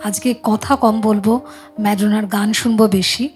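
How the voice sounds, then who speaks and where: A woman's voice: mid-range voice, fairly even intonation, normal speed, darkish tone. Woman hosting a music chat. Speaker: host of a music chat show. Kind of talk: casual studio chat, welcoming viewers. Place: music studio.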